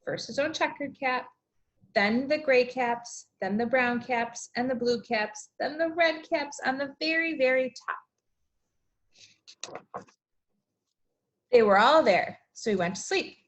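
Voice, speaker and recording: A woman teacher reading aloud and explaining things to young children, with expressive, deliberate emphasis. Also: high-pitched, poor audio. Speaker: elementary school teacher.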